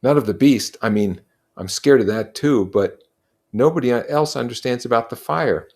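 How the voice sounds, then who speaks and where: Man acting a worried, pleading boy leader, raised pitch. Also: moderate pace, darkish tone, close to an everyday voice. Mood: pleading, scared. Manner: pleading, urgent. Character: worried, earnest boy leader, frightened and frustrated.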